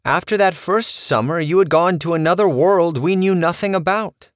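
Male reader doing a worried, gentle mom, higher voice, resigned, memoir reading. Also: moderate pace, no room sound, studio quality, natural voice. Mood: wistful. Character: worried middle-aged mother, gentle.

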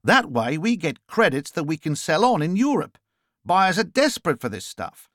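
A man's voice: on the high side, very animated, moderate pace, a bit bright, no echo, studio quality, voice pushed up. A man voicing a brash, impatient Australian businesswoman in an audiobook, abrupt and exasperated. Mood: insistent. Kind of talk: audiobook character dialogue.

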